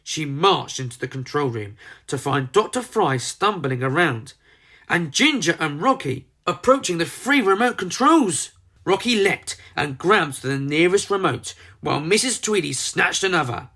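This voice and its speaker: Slow, animated storybook narrator. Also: mid-range voice. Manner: theatrical, emphatic. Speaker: storyteller narrating a children's book. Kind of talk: dramatised storybook narration with character voices.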